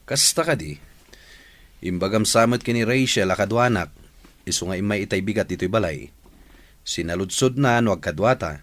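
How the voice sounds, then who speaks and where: A man's voice: fairly low pitch, normal speed, long pauses, a bit bright, close to an everyday voice. A man acting as a frank friend admonishing his buddy in an Ilocano radio drama, in a conversational way. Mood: concerned, chiding. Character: frank male friend breaking bad news to his buddy.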